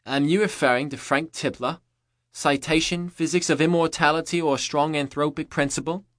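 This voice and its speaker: A man reading an audiobook, voicing a stiff male agent controlled by a machine, speaking loudly and haltingly with broken, robotic grammar. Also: a little high, steady tone, no room sound, clean studio sound, lightly acted. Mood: stilted. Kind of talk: audiobook character voice.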